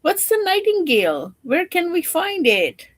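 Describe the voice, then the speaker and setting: A woman voicing excited palace servants in a storybook, calling out loudly. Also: very high voice, a little slow, voice pushed up, heavily acted. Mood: anxious puzzlement.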